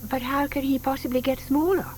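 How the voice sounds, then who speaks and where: A woman's voice: high voice, fairly even intonation, a little fast, a little mellow, slight background noise, lightly acted. An actress playing a genteel, doting older British lady in an audio drama, gushing, fussing and polite. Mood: concerned, puzzled.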